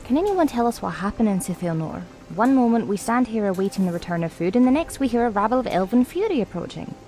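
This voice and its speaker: Woman playing a mellow, wise female ranger. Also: on the high side, very animated, faint music behind. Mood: puzzled. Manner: measured, formal, counseling. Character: wise, gentle, young-seeming ancient female ranger.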